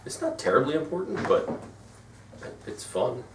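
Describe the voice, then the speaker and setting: A man's voice: medium pitch, very animated, normal speed. A man in a band talking casually in an interview, rambling and full of "like" and acted-out quotes, recorded from a distance in an echoey room. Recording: echoey room, distant mic, audible noise.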